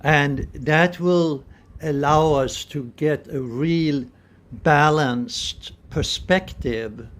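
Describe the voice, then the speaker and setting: Slow-spoken older man, higher-pitched. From Sweden. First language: Swedish. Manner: deliberate, pausing, earnest. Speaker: spiritual vlogger. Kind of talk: reflective spiritual talk to camera. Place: small room indoors. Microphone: phone at arm's length.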